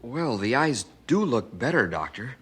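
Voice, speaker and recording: An actor playing a tender, stricken young father, hushed and emotional, in an old-fashioned radio drama. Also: mid-range voice, sing-song, a little slow, faint music behind. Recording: old radio recording, slight background noise.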